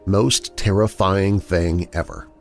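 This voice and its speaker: A man reading first-person scary stories as a narrator, in a dramatic, expressive storytelling manner. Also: low voice, takes it slowly, creaky. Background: background music.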